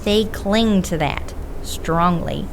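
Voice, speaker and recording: A woman's voice: on the low side, slow, background noise. A woman playing a stern female investigator who knows supernatural lore, explaining firmly in an audio drama. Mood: lecturing. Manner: lecturing, firm. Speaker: occult expert investigator.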